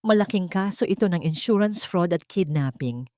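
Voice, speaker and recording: Steady, reassuring gentleman lawyer, played by a female narrator, storybook drama, dry sound. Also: lowish voice, moderate pace, no echo, lightly acted. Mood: calm, firm. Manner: calm, firm, reassuring. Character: calm, protective male lawyer, steady and reassuring. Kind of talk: storybook dialogue.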